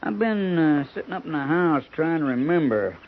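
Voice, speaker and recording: An actor playing a sniveling, scheming frontier settler with a drawl, hesitant and sly, in a 1950s radio drama. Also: male voice, a little high, animated, normal speed, a little hiss or hum, natural voice. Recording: tinny, muffled old radio broadcast.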